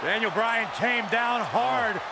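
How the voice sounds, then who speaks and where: Punchy male wrestling commentator, raised high. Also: slow pace, vocal fry. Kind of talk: sports commentary. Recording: loud noisy arena crowd. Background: roaring arena crowd.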